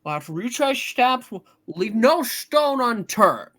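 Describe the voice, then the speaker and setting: A woman's voice: on the high side, big pitch swings, moderate pace, fairly bright tone, voice pushed up. A woman voicing an earnest, harried young businessman, anxious and determined, in a hammed-up radio play. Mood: alarmed.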